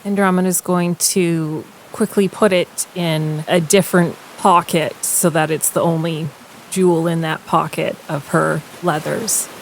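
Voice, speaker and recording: A woman playing a tabletop game, narrating her character's actions in a measured, matter-of-fact way. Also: fairly low pitch, fairly even intonation, vocal fry, slight background noise, rough recording.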